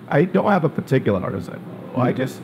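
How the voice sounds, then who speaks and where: A man's voice: high voice, slight background noise. A man born in Zambia and raised in New Jersey, a physician answering interview questions hesitantly with many uh's and um's. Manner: hesitant, lots of uh and um, plain spoken. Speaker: guest, family physician.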